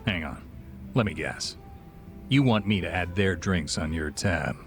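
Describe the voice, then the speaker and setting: An actor playing a dry, laid-back, grumbling bar owner in a video game, weary and grudging. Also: male voice, deep voice, lively intonation, measured pace, faint music behind, heavily acted. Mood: grudging, resigned. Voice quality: gravelly. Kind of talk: dubbed video game cutscene acting.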